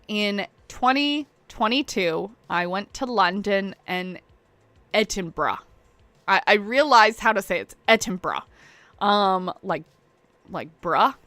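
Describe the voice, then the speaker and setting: Young woman streamer, bright, crisp voice, dry close sound, rambling self-deprecating chatter. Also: fairly low pitch, no room sound. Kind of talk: casual chat while waiting on a stream.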